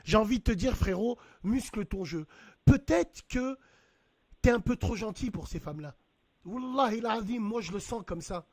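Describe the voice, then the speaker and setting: Animated high-voiced man giving advice. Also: normal speed, no echo. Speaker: host. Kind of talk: casual debate over a phone call.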